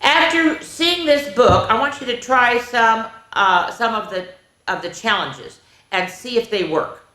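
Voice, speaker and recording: A woman hosting a children's story time, reading a picture book aloud in an animated, sing-song, question-asking way. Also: fairly high pitch, measured pace. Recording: roomy, echoey indoor sound.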